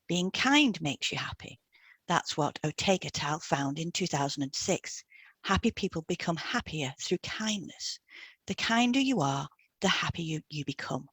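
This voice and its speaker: A woman's voice: low-pitched, lively intonation, moderate pace, a bit bright, husky, no room sound. A woman reading a scripted informational piece as a podcast host, in an instructive, explanatory way.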